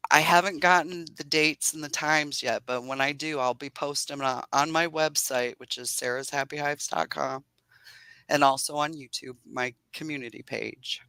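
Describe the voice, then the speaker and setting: A woman's voice: sits low, fairly even intonation, moderate pace, pushed and bright, clear, resonant tone, no echo. A woman beekeeper with a soothing voice, explaining patiently and laughing often in a casual interview. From Indiana. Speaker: beekeeper guest.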